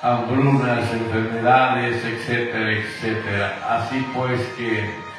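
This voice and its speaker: Slow preaching man, echoey and distant. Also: mid-range voice, level tone. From Guatemala. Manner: slow, drawn-out preaching cadence. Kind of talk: sermon with greetings to listeners. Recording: echoey, distant, with music behind. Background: instrumental music.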